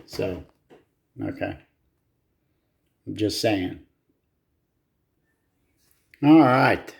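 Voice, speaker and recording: A man giving casual hands-on repair commentary, muttering to himself in short, halting phrases with some casual swearing. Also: on the low side, expressive, gravelly, a little hiss or hum. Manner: casually profane. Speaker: hobbyist repairer filming own repair. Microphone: handheld camera.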